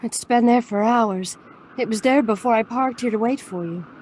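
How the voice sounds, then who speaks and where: An uneasy woman client played by an actress in noir audio drama, her voice fairly high and softly mellow. She is restrained, with ambient noise behind her. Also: moderate pace, close to an everyday voice. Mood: anxious. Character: anxious, soft female client of a private detective.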